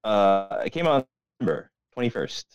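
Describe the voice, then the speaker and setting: A man's voice: fairly low pitch, sing-song, moderate pace, clean tone, dry recording, lo-fi sound. A young man co-hosting a podcast in casual, rambling chat full of profanity and slang. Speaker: podcast co-host.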